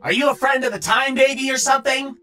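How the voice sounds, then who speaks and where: Cartoon demon villain: shrill, piercing, wildly swooping, brash and mocking, over music. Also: male voice, very animated, normal speed, exaggerated voice. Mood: irritated, suspicious. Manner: mocking, loud, screeching, theatrical. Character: brash, irritable floating one-eyed demon triangle villain, mocking and arrogant. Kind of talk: animated story narration character voice.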